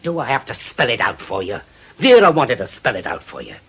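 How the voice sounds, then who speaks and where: Bitter, husky British ex-policeman, intense. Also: medium pitch, wildly swooping pitch, brisk, exaggerated voice. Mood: insistent, angry. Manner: forceful, sardonic, hectoring. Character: bitter, obsessive widowed ex-policeman bent on revenge. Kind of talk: British radio drama acting.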